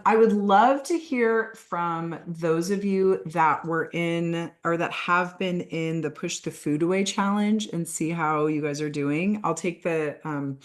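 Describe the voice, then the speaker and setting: A woman with a low, somewhat hoarse voice runs a group coaching call. She speaks loosely and informally, with fillers and restarts. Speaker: weight-loss coach.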